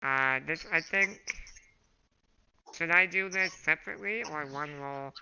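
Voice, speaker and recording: Hoarse man, game master, slow unhurried speech, muffled sound. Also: fairly high pitch, bright, forceful tone. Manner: unhurried, hesitant with fillers. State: hoarse from a throat infection. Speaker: dungeon master. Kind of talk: running a tabletop game, casual. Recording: muffled home recording with background noise.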